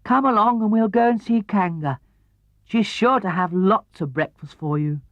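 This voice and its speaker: A man voicing a gentle, slow-witted little toy bear in a children's storybook, earnest and a bit hesitant. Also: sits high, no echo, muffled recording, voice pushed up, close to an everyday voice. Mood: concerned.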